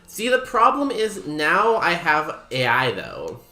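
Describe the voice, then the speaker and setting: A man's voice: a little high, wildly swooping pitch, slow pace, sharp tone. A young man from Canada chatting casually with his stream audience while he plays, rambling and poking fun at himself with lots of ums and likes. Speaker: streamer playing a video game. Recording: roomy echo with faint music behind.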